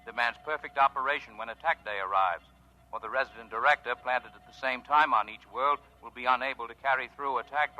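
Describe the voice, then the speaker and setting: A man's voice: mid-range voice, sharp tone, poor audio. A man playing a methodical alien director in a 1950s radio drama, dictating a formal report in a clipped, impersonal, processed voice. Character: cold, methodical alien planet director plotting destruction of colonists. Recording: old band-limited 1950s radio recording.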